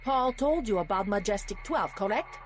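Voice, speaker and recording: A woman playing a sly, poised Hong Kong politician in a video game, with a measured, persuasive delivery. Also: mid-range voice, lively intonation, faint music behind, close to an everyday voice.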